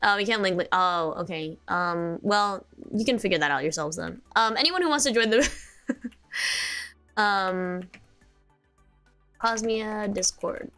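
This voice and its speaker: Sleepy bright-voiced young woman streamer. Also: mid-range voice, measured pace, long pauses, faint music behind. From United States. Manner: rambling, many fillers and restarts, giggly. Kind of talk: casual chat stream.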